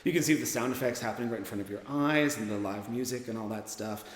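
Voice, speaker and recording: A man speaking casually in an interview as a writer and performer, enthusiastic and expressive. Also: medium pitch, quick pace. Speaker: writer and radio drama performer. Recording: echoey, reverberant room.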